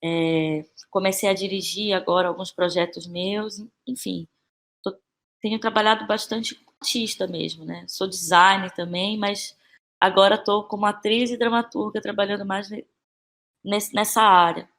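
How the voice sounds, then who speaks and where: A woman's voice: mid-range voice, steady tone, moderate pace, a bit bright. A Brazilian woman answering as a podcast guest in a conversational, informal and reflective way, often seeking agreement with tag questions. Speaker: podcast guest, actress and playwright.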